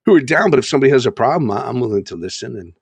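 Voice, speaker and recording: An older man with a naturally loud voice, chatting casually from his home bedroom and rambling with many fillers. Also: medium pitch, sing-song, rapid-fire, creaky, slightly rough, no echo. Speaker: guest and chat moderator. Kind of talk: casual chat.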